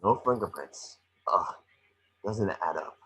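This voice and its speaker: A man playing a small, cocky, wisecracking mouse detective in a table read, with a rough-edged husky voice. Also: deep voice, lively intonation, fairly bright tone, heavily acted, poor audio. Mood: wry, cocky. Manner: fast-talking wisecracking patter. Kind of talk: animated script table read.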